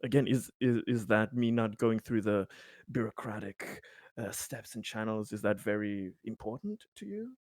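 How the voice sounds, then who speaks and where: A man's voice: lowish voice, lively intonation, normal speed, darkish tone, no echo, clean studio sound, close to an everyday voice. A man playing an awkward, over-polite bureaucratic boss in a tabletop game, hesitant and rambling in word salad. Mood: politely probing.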